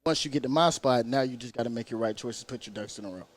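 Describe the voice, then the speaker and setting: A man's voice: mid-range voice, quick pace, no room sound. A man in his twenties, an assistant football coach, speaking earnestly and in a motivational way in a podcast interview. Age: 20s.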